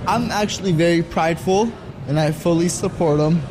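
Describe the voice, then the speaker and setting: A man's voice: fairly high pitch, moderate pace, music in the background. A man giving a spontaneous opinion as an interviewee, recorded on location. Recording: noisy location with traffic ambience.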